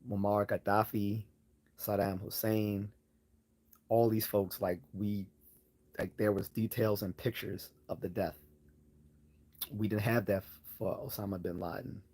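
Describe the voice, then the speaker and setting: Hoarse man rambling through a livestream. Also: lowish voice, little pitch movement, unhurried, pauses often, darkish tone. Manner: rambling, hesitant, many fillers and restarts. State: hoarse, losing his voice. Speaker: livestream host answering chat questions. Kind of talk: casual live Q&A chat.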